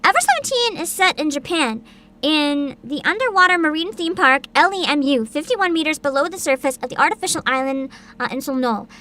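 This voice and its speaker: A woman's voice: high-pitched, lively intonation, brisk, pushed and bright. A woman hosting a livestream, chatting casually and reading bits aloud in a bubbly, enthusiastic manner. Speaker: virtual streamer host.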